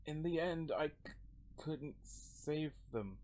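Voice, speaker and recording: A woman puts on a wounded, despairing man for a comic read-aloud. The voice is low and faint, halting and very slow, heavy with guilt. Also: lively intonation, darkish tone, heavily acted. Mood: guilt-ridden.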